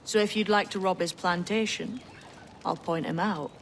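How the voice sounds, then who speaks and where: A woman's voice: lowish voice, fairly even intonation, moderate pace, a little hiss or hum, close to an everyday voice. A woman playing a sly, teasing, boyish young pirate with a knowing manner in a video game. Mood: shrewd, informative. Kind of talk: dramatic video game acting.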